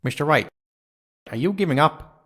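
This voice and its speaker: A man's voice: fairly high pitch, lively intonation, moderate pace, sharp tone. Solemn old male judge played by an actor. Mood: grave. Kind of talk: dramatised video game voice acting.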